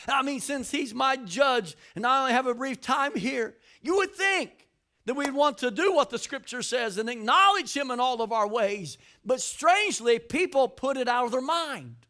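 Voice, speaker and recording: An older man, a pastor, preaching a sermon with emphatic rises and shouted lines, repeating words as he builds his points. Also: very high voice.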